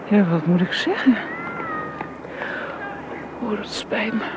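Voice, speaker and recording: An actress playing a gentle, anxious young housekeeper and nanny in a radio drama, speaking hesitantly. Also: female voice, mid-range voice, slow, lightly acted. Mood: shaken. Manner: hesitant, deferential to employer. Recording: dull, noisy old radio recording.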